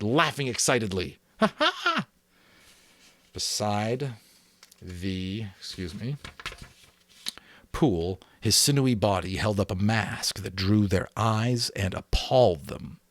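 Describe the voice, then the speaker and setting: Low-voiced man reading a dramatic audiobook. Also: no room sound. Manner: expressive, with small slips he corrects aloud. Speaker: amateur audiobook reader.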